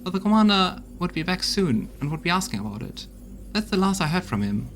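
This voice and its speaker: A man voicing a rough-tongued, loyal crusade soldier in a fantasy game, talking in a blunt, folksy, gossipy way. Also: sits high, sing-song, a little fast, fairly bright tone, a little hiss or hum. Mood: urgent reporting. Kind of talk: voiced fantasy role-playing game dialogue.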